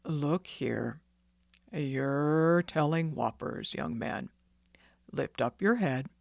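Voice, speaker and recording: A woman's voice: low voice, expressive, extremely slow, gravelly, darkish tone, no echo, heavily acted. A woman voicing a big-voiced, stern but kindly old country doctor in a storybook reading.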